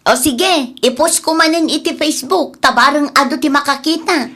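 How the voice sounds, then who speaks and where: A practical, spirited farmer's wife with a fairly high voice and an Ilocano Filipino accent, played by a woman. She is friendly and supportive, a village character in a radio comedy drama. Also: normal speed, bright, forceful tone. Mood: affectionate, practical.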